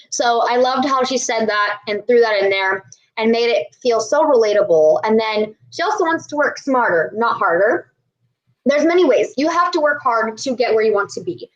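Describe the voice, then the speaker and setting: A woman's voice: on the high side, rough recording. A young woman chatting casually and enthusiastically on a video call, rambling a little as she shares her goals. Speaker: co-host, maker. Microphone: webcam on a video call.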